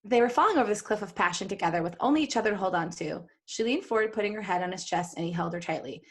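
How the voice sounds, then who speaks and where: A woman's voice: mid-range voice, rapid-fire. A woman co-hosting a podcast, reading fan fiction aloud in a giggly, jokey way and breaking off for casual asides. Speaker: podcast co-host.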